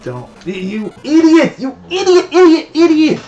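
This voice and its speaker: A man from Newfoundland streaming a retro game, chatting casually and getting frustrated and shouting at it. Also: very high voice, sing-song, a little slow, dull audio, soft background music. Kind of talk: casual live-stream chat.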